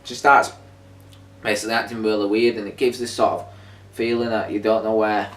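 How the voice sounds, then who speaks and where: A man's voice: fairly low pitch, flat delivery, brisk, a bit bright. Rambling man in an echoey room. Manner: rambling, hesitant, thinking aloud. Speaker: co-host of a review discussion. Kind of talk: casual chat reviewing an audio drama. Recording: echoey room, distant mic, faint music behind. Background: faint music.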